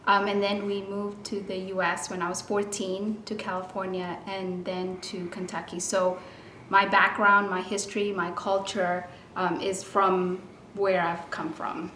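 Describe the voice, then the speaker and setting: Quiet, reserved woman in an echoey room. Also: mid-range voice, little pitch movement, a little slow, slight background noise. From Ethiopia, raised in Rome. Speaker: wife sharing a church testimony. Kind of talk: personal testimony, interview-style.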